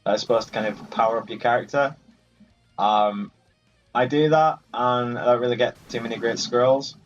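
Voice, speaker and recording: A man hosts a casual video game playthrough with jokey, lightly sweary banter aimed at the characters on screen. His voice is mid-pitched. The audio is somewhat dull and lo-fi, with music playing behind him. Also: moderate pace. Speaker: gaming video host. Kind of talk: casual let's play commentary.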